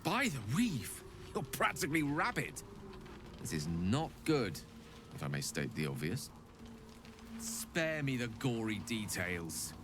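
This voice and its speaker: A man's voice: mid-range voice, huge pitch swings, slow pace, background noise. An actor playing a witty male wizard in a video game, speaking politely and articulately, with dry humor. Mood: urgent. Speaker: game character.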